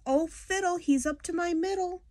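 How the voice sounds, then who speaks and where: Panicky storybook snake victim played by a woman, high-pitched, singsong, full of mounting alarm. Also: normal speed, a little mellow, no room sound, clean studio sound. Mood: rising panic.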